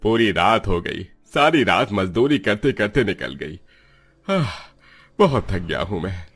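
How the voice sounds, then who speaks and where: A man's voice: lowish voice, big pitch swings, measured pace, a bit bright, husky, heavily acted. A man playing a tired, kindly poor village father and labourer in a cartoon story. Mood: weary. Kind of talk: Hindi cartoon dialogue.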